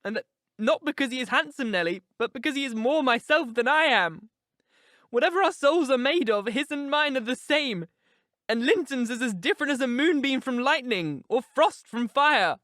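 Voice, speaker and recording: A woman's voice: high voice, animated, normal speed, fairly bright tone, no room sound, clean studio sound, voice pushed up. Woman doing a passionate, headstrong young woman. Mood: yearning. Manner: intense, emphatic, declamatory. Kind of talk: audiobook character reading.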